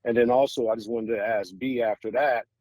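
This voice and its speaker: A man's voice: mid-range voice, steady tone, a little fast, a little mellow, no room sound. An American man hosting a podcast interview in a casual, conversational style, with meandering and admiring questions. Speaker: podcast host interviewing guests.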